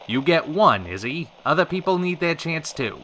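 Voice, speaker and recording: A man's voice: fairly high pitch, big pitch swings, moderate pace, background noise. A man playing a wry, chivalrous radio talk show host in a polished, theatrical old-time style. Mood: teasing.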